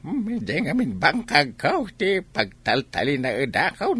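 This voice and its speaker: A woman's voice: deep voice, huge pitch swings, unhurried, fairly bright tone, husky, no room sound, full character voice. A woman with a Filipino accent playing an aggrieved, gossiping village neighbour in a comedy radio drama, full of complaining exclamations.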